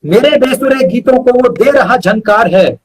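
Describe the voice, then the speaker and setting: A man's voice: very high-pitched, lively intonation, measured pace, slightly rough. A man reciting poetry as a guest poet, repeating lines for emphasis, with short asides to listeners.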